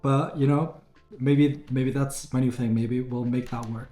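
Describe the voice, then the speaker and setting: Male vlogger, hoarse raspy voice, chatty and rambling, roomy echo, faint music behind. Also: mid-range voice, darkish tone. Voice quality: raspy, slightly lost voice. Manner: chatty and rambling, self-correcting. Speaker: beauty vlogger. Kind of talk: casual chat to camera.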